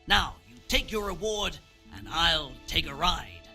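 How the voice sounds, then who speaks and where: A man's voice: on the high side, a little slow, vocal fry, sharp tone, soft background music, exaggerated voice. A man playing a sly, greedy townsman who commissions thefts in a video game, talking in a knowing, theatrical, wheedling way. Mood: scheming. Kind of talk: dramatic video game dialogue.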